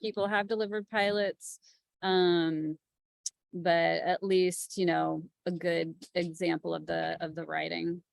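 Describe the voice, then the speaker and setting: A woman's voice: lowish voice, level tone, takes it slowly, creaky, dry recording. A woman speaking in a conversational, plain-spoken way as a producer on an informal panel. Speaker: executive producer, panel guest.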